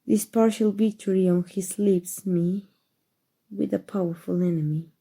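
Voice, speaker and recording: A woman playing a powerful, aristocratic vampire in an ASMR roleplay, with formal, measured, protective speech. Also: mid-range voice, fairly even intonation, vocal fry, mellow, husky. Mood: disdainful, troubled.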